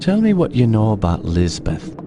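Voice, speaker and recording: An actor playing a stoic war veteran who investigates the supernatural, with terse, serious questions in a game cutscene. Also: male voice, on the low side, wildly swooping pitch, normal speed, noisy background. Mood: serious, inquiring. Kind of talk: dramatic game cutscene acting.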